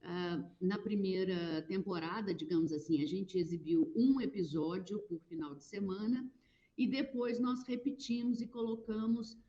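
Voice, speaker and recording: A Brazilian woman from public radio explains things in an animated way, often pausing on fillers. Her voice is mellow and middle-pitched. The recording sounds dull and lo-fi. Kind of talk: conversational interview answers.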